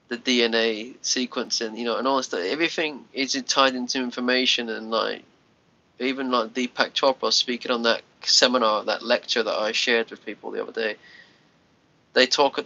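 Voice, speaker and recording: A man's voice: mid-range voice, bright, forceful tone. A man in his thirties with a Scottish accent, chatting casually to viewers on a livestream from his bedroom, rambling and restarting his words, a little congested. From Scotland. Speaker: livestream host. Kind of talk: casual live chat with viewers. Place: small bedroom, lying on a sofa. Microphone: phone held at arm's length. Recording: lo-fi phone livestream audio.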